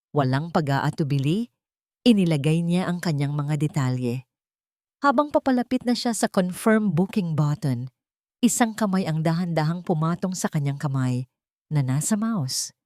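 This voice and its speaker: A woman's voice: fairly low pitch, darkish tone, no echo, studio quality. A woman narrating a scripted dramatic story.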